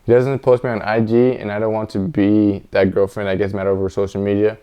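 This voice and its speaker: Low, mellow male podcast co-host. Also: flat delivery, fairly quick, smooth. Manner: informal, slangy. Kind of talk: casual advice podcast banter.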